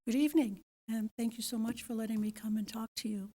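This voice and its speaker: A hoarse woman, a committee chair, giving a polite, detailed comment at a town board meeting with many ums and uhs. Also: a little high, steady tone, fast, dark tone, slight background noise.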